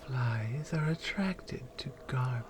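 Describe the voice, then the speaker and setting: Woman acting slow-spoken, taunting Amazonian warrior. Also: rumbling low, lively intonation, noisy background, heavily acted. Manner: taunting, deliberate, hushed at times. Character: stern, proud female Amazonian warrior guarding her captive. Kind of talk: ASMR roleplay monologue.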